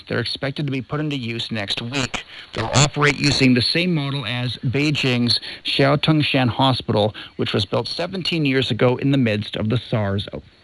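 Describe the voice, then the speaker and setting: A man reading scripted news in a formal, measured newsreader delivery, heard over shortwave radio. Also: mid-range voice, vocal fry, fairly bright tone, poor audio. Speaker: news presenter.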